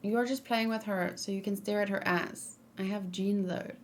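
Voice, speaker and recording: A woman's voice: medium pitch, fairly even intonation, moderate pace, a little hiss or hum. A young woman streaming and reacting live, chatting casually, reading viewer comments aloud and rambling with frequent fillers. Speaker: streamer reacting to game character.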